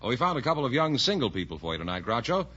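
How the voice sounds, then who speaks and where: Old muffled radio, man, bright voice, lively intonation, 1950s quiz announcer. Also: medium pitch, racing. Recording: muffled vintage radio with hum.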